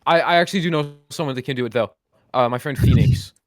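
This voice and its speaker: A man's voice: medium pitch, fast. A man co-hosting a podcast, chatting casually in a joking, slangy way. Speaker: podcast co-host. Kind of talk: casual chat. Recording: some background noise.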